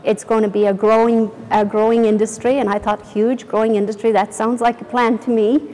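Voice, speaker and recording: A middle-aged woman with an Irish accent, a company founder telling her story in a relaxed interview with plenty of restarts. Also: on the high side, fairly quick. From Ireland or UK. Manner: chatty, self-deprecating, many restarts. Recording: reverberant room.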